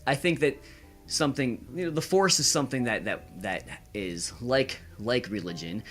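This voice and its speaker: A young man answering casually as a podcast guest, earnest and halting, repeating words. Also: medium pitch, a bit bright, faint music behind. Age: young adult.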